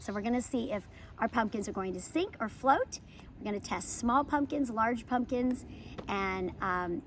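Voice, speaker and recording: An American woman hosting a homeschool lesson and children's read-aloud, with expressive, emphatic storybook phrasing. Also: a little high, a little hiss or hum. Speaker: homeschool educator and channel host.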